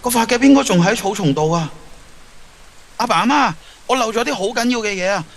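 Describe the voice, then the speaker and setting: A man's voice: high voice, lively intonation, fairly quick, long reverb tail. A man playing a lovesick, torn teenage schoolboy in an audio drama, with earnest, emotional delivery. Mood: urgent. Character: lovesick, torn teenage schoolboy who has travelled back in time. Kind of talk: Cantonese audio drama acting.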